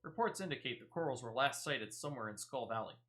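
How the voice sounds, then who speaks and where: Man reading as a stern, bright-voiced guard officer. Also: mid-range voice, animated, close to an everyday voice. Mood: earnest, grave. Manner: formal, earnest, measured phrasing. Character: stern, dutiful Yellow Jackets guard officer. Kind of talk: video game dialogue read aloud.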